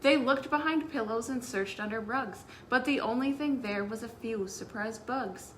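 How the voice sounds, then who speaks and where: A woman's voice: fairly high pitch, moderate pace, slight background noise. A woman speaking as a children's librarian, hosting story time with announcements and read-aloud for young listeners.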